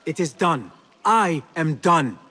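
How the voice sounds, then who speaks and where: Game cutscene infiltrator: dry, long-suffering, slightly slow delivery with animated intonation. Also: male voice, fairly high pitch, husky, exaggerated voice. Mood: impatient, muttering. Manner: measured, dry, exasperated. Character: exasperated young male infiltrator posing as a servant. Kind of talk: video game cutscene acting.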